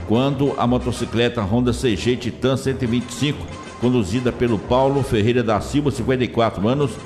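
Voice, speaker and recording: A man reads police reports with a Minas Gerais Brazilian accent, steady and formal. His voice carries a long reverb tail, and clear music plays beneath him. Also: medium pitch. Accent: Brazilian Portuguese, Minas Gerais. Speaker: police news reader. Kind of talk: scripted news reading.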